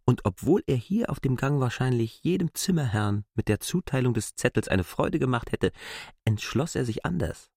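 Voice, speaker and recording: A man reads literary prose as an expressive storyteller. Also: medium pitch, moderate pace, creaky, breathy edge, no echo, clean studio sound. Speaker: narrator of a literary classic. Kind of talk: scripted literary narration.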